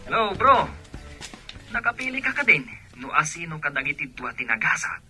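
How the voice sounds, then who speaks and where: A man playing an excitable, exasperated male buddy in an Ilocano radio drama. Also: on the high side, normal speed, soft background music, full character voice. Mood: surprised.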